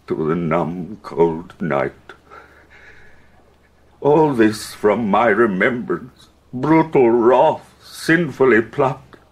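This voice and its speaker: An actor playing an anguished, wrathful English king in a Shakespearean audio drama, declaiming with heavy pauses and a husky voice. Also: lowish voice, exaggerated voice. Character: grief-stricken, wrathful, ailing English king.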